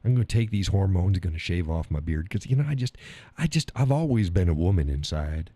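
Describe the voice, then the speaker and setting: A man's voice: low voice, lively intonation, fairly quick, warm tone, breathy edge, no echo, pitched below the performer's own voice. A man putting on the voice of a made-up male friend confessing a wish to transition, in a mocking, hesitant drawl.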